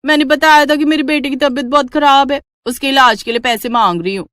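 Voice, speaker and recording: A woman's voice: high-pitched, a little fast, a bit bright, no echo, studio quality, voice pushed up. A woman voicing a wheedling, insistent housemaid full of excuses in a family story. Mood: pleading. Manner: insistent, pleading. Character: wheedling, excuse-making housemaid demanding money.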